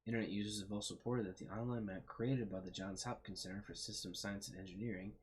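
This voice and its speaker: Deep-voiced young man reading aloud. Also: fairly even intonation, fast talker. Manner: thoughtful, trailing off with fillers. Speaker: gaming video host. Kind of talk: casual let's-play commentary, reading aloud.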